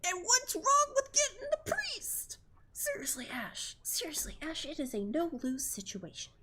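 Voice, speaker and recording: A woman livestreaming a visual novel, chatting and reacting aloud to the characters in an informal, expressive way. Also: extremely high pitch, unhurried, slight background noise. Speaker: streamer playing a visual novel.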